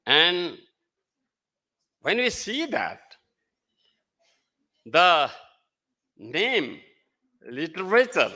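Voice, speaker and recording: A man, a native Nepali speaker with a Nepali accent, giving a halting, emphatic formal speech as guest speaker at a ceremony. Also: a little high, sing-song, sharp tone. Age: older.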